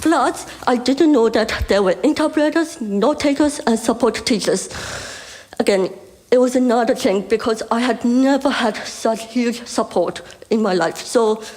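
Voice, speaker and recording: A woman's voice: a little high, a little mellow, rough recording. Young woman, careful hard-of-hearing speech. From Burma. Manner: careful, deliberate delivery with small restarts. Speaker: conference speaker. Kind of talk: prepared speech.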